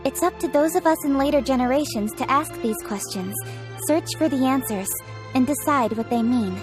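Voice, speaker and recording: A woman plays a young official in a game, poised and reflective. Her voice is fairly high, her phrasing formal and articulate, with light music behind. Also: moderate pace, close to an everyday voice. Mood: reflective, nostalgic. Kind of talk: video game character dialogue.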